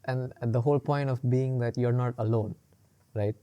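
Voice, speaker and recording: Male podcast co-host, mid-pitched and mellow, loose rambling chat full of fillers and laughs. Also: steady tone, moderate pace, clean tone, lo-fi sound. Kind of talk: casual chat.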